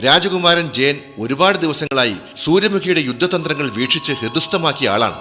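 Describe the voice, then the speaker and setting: A wise cartoon king who speaks in a measured, formal way. His bright tone rings with a long reverb, and music plays under him. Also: on the high side. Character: calm wise adult king answering a riddle. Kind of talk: dubbed cartoon acting.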